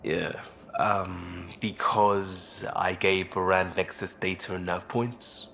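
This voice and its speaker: A man playing an impulsive, earnest crewman in a video game, speaking in a halting, emphatic way. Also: sits low, fairly even intonation, creaky, bright, forceful tone, slight background noise, heavily acted. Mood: defensive. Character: impulsive crewman, earnest and defensive about blunders.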